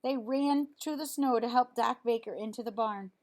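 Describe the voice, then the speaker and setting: A woman reading a children's picture book aloud in an expressive way, as if to young listeners. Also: on the high side, moderate pace. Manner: expressive, explains words simply to children. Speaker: storybook reader.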